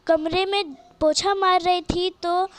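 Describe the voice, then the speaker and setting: A woman's voice: very high-pitched, steady tone, slow. A woman reading scripted narration for a moral story as its storyteller.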